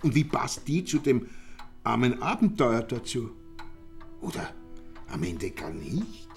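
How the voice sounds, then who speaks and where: An actor playing a chatty, pompous, fussy village count in a radio play, with an Austrian accent and hesitant repetitions. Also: male voice, medium pitch, big pitch swings, unhurried, vocal fry, faint music behind. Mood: bewildered. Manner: affable, pompous, repeats and hesitates.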